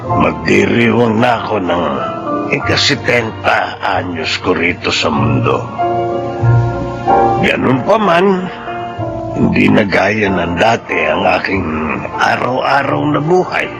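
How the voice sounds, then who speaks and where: Slow, gravelly old man, wistful. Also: fairly low pitch, very animated, exaggerated voice. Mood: wistful, accepting. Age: older. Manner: slow, sentimental, philosophical. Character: reflective elderly man nearing seventy, missing late friends. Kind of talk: Filipino radio drama monologue. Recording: loud music behind the voice. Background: loud dramatic music.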